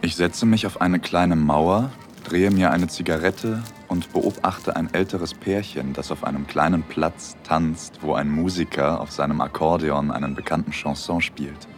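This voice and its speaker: An actor playing a dreamy, bashful young man, narrating an intimate audio drama in the first person in a soft-spoken way. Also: a bass voice, steady tone, unhurried, hardly any pauses, soft background music. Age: young adult.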